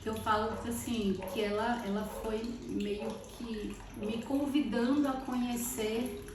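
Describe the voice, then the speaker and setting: A woman's voice: a little high, steady tone, measured pace. A Brazilian woman speaking reflectively and conversationally as an interview guest, searching for words as she goes. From Brazil, born in Salvador, lived in Teresina. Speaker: podcast guest, architect. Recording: very echoey, distant, noisy with running water. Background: water fountain trickling.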